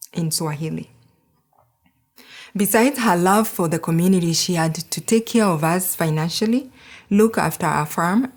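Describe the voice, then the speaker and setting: Woman, thirties, heartfelt gala speech, slightly low voice. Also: darkish tone. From Kenya. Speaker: board member giving a speech.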